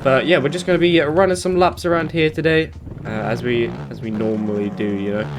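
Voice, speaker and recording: A man's voice: mid-range voice, brisk, over music. Stuffy-nosed British man rambling casually. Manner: rambling, many fillers and self-corrections. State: blocked nose from hay fever. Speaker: gaming YouTuber. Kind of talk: casual commentary while playing. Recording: game engine noise behind. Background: motorbike game engine sounds.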